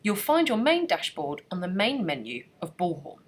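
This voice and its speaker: Trainer voice: woman, low and husky, animated pitch, steady scripted tutorial read. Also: normal speed. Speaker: software trainer. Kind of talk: scripted screencast narration.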